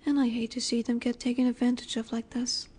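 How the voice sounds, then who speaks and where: A woman playing a flirty, menacing, self-assured mafia boss in an audio roleplay, speaking coyly and deliberately. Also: fairly high pitch, flat delivery, a little mellow. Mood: composed, sly. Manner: coy, teasing, deliberate, sometimes breathy. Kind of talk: scripted audio roleplay, addressing the listener.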